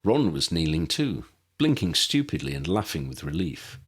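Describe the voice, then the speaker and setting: A man narrates a story in a low, deep voice. His pitch moves around a great deal, giving the scripted prose an animated shape as he reads it like an audiobook. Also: moderate pace, breathy edge. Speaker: audiobook narrator reading own fiction.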